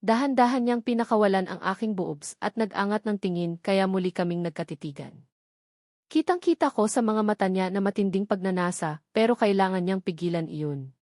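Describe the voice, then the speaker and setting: A woman's voice: medium pitch, darkish tone, no room sound, studio quality. A woman with a Filipino accent reading a scripted first-person story as an audiobook narrator.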